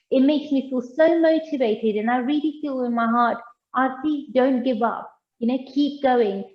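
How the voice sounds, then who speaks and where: A woman's voice: a little high, roomy sound, dull audio. A young woman hosting an interview, effusive and full of praise for her guest. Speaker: host.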